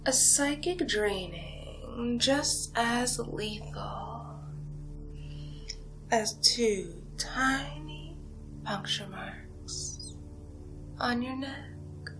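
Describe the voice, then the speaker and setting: A woman playing a seductive, menacing vampire in an ASMR horror roleplay, speaking in a breathy, drawn-out half-whisper with hypnotic, teasing menace. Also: on the high side, expressive, music in the background, exaggerated voice. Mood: hypnotic, predatory.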